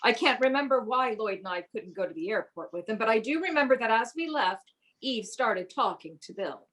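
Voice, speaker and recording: An actress playing a sociable, polite friend who also narrates in a radio play. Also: female voice, on the high side, animated, a little fast, fairly bright tone, poor audio. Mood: reflective. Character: sociable, polite woman friend recalling events.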